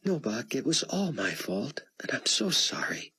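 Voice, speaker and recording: A man voicing a tearful, apologetic young woman in an audiobook, speaking softly and in near-whispers. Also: medium pitch, sing-song, a little slow, breathy edge, voice pushed up, exaggerated voice. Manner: soft, whispery, teary. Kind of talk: audiobook character voice.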